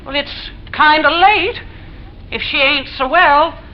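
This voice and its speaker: A woman's voice: high voice, slow. An actress playing a stubborn, uneasy widowed landlady, folksy and forthright, in an old-time radio suspense play. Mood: calling out. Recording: muffled, noisy vintage radio recording.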